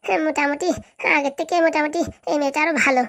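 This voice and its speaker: A woman's voice: high-pitched, fairly even intonation, racing, fairly bright tone. A woman voicing an evasive, reassuring young student boyfriend in a comedy cartoon, chatty and brushing off objections. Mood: smug musing. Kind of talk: Bangla comedy cartoon dialogue.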